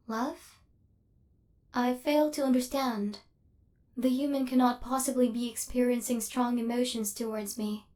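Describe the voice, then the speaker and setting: Woman acting a haughty, high-voiced female killer robot. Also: darkish tone, natural voice. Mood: puzzled. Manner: formal, clinical, stilted robotic phrasing. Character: female killer robot hunter, cold, haughty, secretly flustered. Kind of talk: ASMR roleplay.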